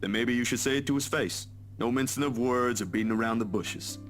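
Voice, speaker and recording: A man's voice: medium pitch, fairly quick, fairly bright tone, soft background music, natural voice. An actor playing an easygoing, teasing young male photographer in a visual novel, talking casually and reassuringly to a friend.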